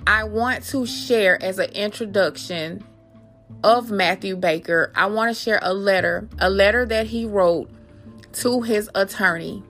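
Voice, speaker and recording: A woman's voice: medium pitch, a little slow, a bit bright. Woman, podcast host. Mid-range voice, speaks directly and familiarly to listeners or reads aloud, music underneath. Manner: addresses listeners familiarly as guys. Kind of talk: personal podcast talk and reading aloud.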